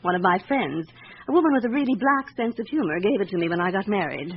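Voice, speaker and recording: Radio play role for a woman: proud, guarded society wife living in fear; formal delivery with wide swings of pitch, on a muffled, hissy recording. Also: lively intonation, fast, close to an everyday voice. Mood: composed, explaining. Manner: formal, evasive, dramatically earnest. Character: anxious upper-class wife, proud and guarded, fearing her husband. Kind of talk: radio drama acting. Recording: dull radio recording with background hiss.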